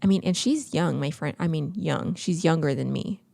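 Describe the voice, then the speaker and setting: Young woman rambling on a podcast, lots of likes and i don't knows, low mellow voice w some fry. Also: moderate pace, no echo, studio quality. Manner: chatty, lots of filler words. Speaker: podcast co-host. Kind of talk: casual chat.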